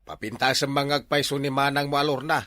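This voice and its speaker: A man's voice: mid-range voice, steady tone, brisk, pushed and bright, no echo, natural voice. A man with an Ilocano accent acting a nosy, bossy older village man in a comedy skit. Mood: nosy, exclaiming.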